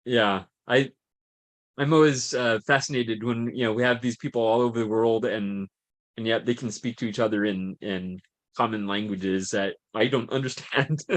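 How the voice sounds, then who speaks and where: A man hosting a casual live-stream chat, halting and repeating words as he talks. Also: on the low side, fairly even intonation, no room sound. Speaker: host.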